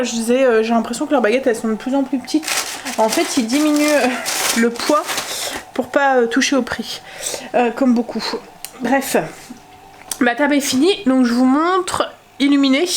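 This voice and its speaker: A woman's voice: high voice, roomy sound, a little hiss or hum. Stuffy-nosed woman vlogging from home. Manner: chatty, many filler pauses. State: stuffy nose from a cold. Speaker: family vlogger. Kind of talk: casual home vlog chat.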